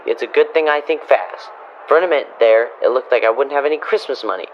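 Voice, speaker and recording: A man's voice: mid-range voice, fast talker, lightly acted. A man playing a naive, flustered teenage boy who stammers and whines in an old-time radio comedy style. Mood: scheming, then dismayed. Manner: whiny, stammering protests. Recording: dull, band-limited, with clear background noise.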